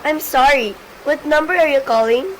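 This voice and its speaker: A woman's voice: high voice, moderate pace, close to an everyday voice. A woman playing a polite, unruffled telephone operator in an amateur suspense radio play, speaking in formulaic phrases. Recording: noisy amateur recording with faint hiss.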